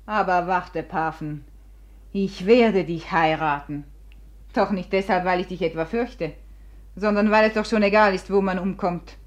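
A woman's voice: fairly low pitch, unhurried, lo-fi sound, close to an everyday voice. An actress playing a proud, scornful and fatalistic woman in a German radio play, with an imperious, mocking manner. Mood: resigned, solemn.

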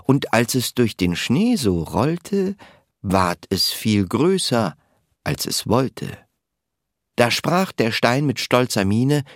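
A man reading a light-hearted rhyming poem from an audiobook in a measured, playful storytelling way. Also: lowish voice, no room sound, clean studio sound. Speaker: audiobook reader. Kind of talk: poetry reading.

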